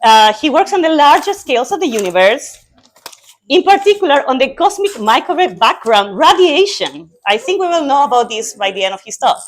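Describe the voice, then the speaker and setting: A woman's voice: high-pitched, very animated, normal speed. A young woman with a Chilean accent, a native Spanish speaker, chattily introduces a speaker as host of an informal event.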